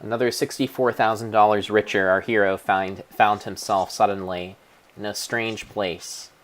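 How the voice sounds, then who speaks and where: Low voiced guy doing a mock dramatic game narration, grand storybook style, very theatrical and deliberate. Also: fairly even intonation. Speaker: streamer narrating own gameplay.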